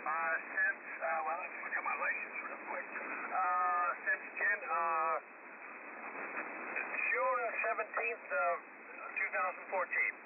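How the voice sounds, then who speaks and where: A man's voice: high voice, fairly even intonation, slow, pauses often. A man chatting casually as an amateur radio operator over shortwave, stumbling and repeating words as he goes. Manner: hesitant, stammering with repeated words. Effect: shortwave single-sideband radio transmission. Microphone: radio transceiver microphone, received over the air. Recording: tinny shortwave radio link with heavy static.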